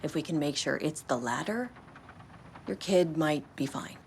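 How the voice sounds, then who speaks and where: A woman's voice: low voice, animated, measured pace, creaky, a little mellow, exaggerated voice. A woman playing a blunt, wry government agent with authority in a video game, speaking in a matter-of-fact manner. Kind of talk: video game cutscene acting. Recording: light ambient background noise.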